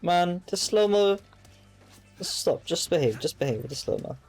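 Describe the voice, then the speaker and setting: A man's voice: sits high, lively intonation, normal speed, a little mellow, soft background music. A young man, playfully muttering reactions over his game. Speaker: gamer. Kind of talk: let's play commentary.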